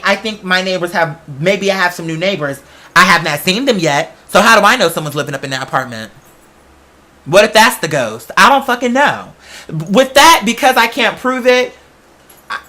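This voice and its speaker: A podcast host with a bright, forceful voice at a middling pitch, rambling casually to an audience. The delivery is slangy and emphatic, and it often wanders off on tangents.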